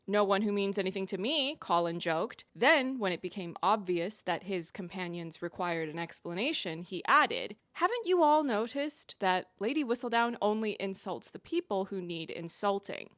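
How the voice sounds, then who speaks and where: A woman delivers opinionated video commentary in a candid, emphatic way. Her voice sits at a medium pitch, and the sound is dry, with very little room in it. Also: very animated, moderate pace, few pauses, fairly bright tone, no echo. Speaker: video essayist and TV reviewer. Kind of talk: opinionated scripted commentary to viewers.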